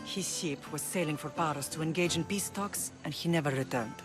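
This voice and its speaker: A woman's voice: deep voice, a little fast, close to an everyday voice. An actress playing a middle-aged mother who rules an island, composed and commanding, in a video game cutscene. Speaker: island leader. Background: music.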